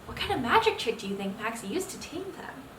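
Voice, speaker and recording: Bright-voiced young woman reading to children. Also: on the high side, brisk. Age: young adult. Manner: expressive, engaging children with frequent questions. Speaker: medical student reading a picture book to children. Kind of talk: children's read-aloud storytelling. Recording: roomy echo, distant mic, faint noise.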